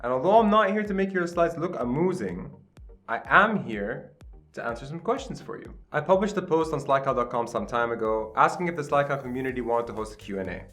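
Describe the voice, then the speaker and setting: A man's voice: medium pitch, animated, fairly quick, fairly bright tone, faint music behind. A man in his late twenties from Qatar hosts his own channel. He talks to the camera in a casual, upbeat, self-deprecating way and often tags sentences with "right?", on mediocre home-recorded sound. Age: late 20s. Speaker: channel host. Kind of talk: casual vlog talk to camera. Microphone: camera with self-admitted poor sound.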